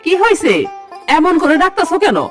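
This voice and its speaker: A woman's voice: extremely high pitch, expressive, brisk, soft background music. A woman playing a respectful, hopeful farmer's wife in a cartoon. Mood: excited. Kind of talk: cartoon dialogue.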